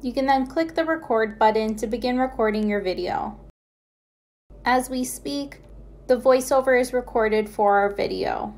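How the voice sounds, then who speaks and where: A woman's voice: on the high side, moderate pace, darkish tone. A woman reading scripted how-to narration as a tutorial narrator.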